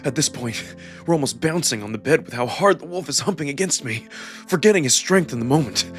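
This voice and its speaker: A man performing dramatic first-person narration from a scripted story, with expressive, emotional emphasis. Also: fairly high pitch, fairly quick. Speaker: narrator and voice performer of a visual novel. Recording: music behind the voice. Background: music.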